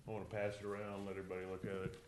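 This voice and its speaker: A man with a deep voice chairing a local committee meeting, speaking in a plain, folksy way. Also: completely flat delivery, rapid-fire, slight background noise. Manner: plain-spoken, folksy, reminiscing. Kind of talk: public meeting, casual chat.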